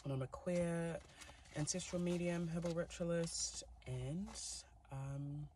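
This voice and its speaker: A man's voice: a little high, fairly even intonation, slow pace, mellow, a little hiss or hum. A man with a New Zealand accent, giving a chatty, giggly tarot reading with plenty of fillers. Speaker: tarot reader. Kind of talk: casual tarot reading to camera.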